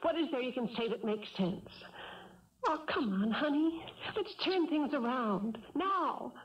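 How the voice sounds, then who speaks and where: Very high-voiced, insistent wife. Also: female voice, animated, measured pace. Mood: pleading. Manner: earnest, insistent. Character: determined, pleading wife of thirty-two. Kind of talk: 1970s radio drama acting. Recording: dull 1970s radio recording.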